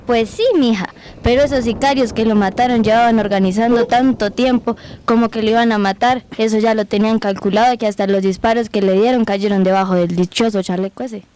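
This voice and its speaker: A woman's voice: fairly high pitch, fairly even intonation, brisk, warm tone, a little hiss or hum, lightly acted, low-quality recording. A woman playing a working-class Colombian mother telling youngsters about the past in an amateur radio drama. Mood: earnest, sorrowful.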